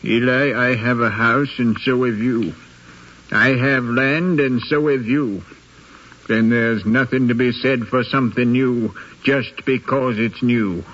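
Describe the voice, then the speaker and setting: A man's voice: mid-range voice, steady tone, slow, noisy background, close to an everyday voice. An actor playing a stern, settled older planter father in a 1950s radio drama, admonishing and emphatic. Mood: stubborn refusal. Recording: dull 1950s radio recording.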